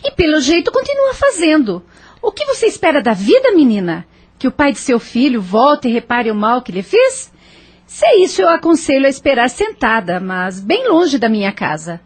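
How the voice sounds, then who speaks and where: A woman's voice: high-pitched, huge pitch swings, normal speed. Woman as a scolding landlady, wildly swooping voice. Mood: scolding, sarcastic. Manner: sharp-tongued, sarcastic, scolding. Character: blunt, scolding brothel landlady, sarcastic and worldly. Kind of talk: audio drama acting.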